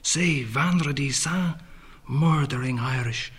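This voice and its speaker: An actor playing a brooding young poet's inner voice, musing in clipped literary fragments with a husky, breathy delivery. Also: male voice, medium pitch, slow, fairly bright tone. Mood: bitter reverie. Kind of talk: literary interior monologue.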